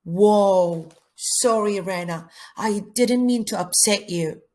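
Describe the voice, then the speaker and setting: A woman's voice: medium pitch, slow pace, darkish tone, lightly acted. A woman reading the part of an eager, curious girl at summer camp in a play, with breezy, blunt phrasing. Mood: apologetic. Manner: casual, breezy, inquisitive phrasing.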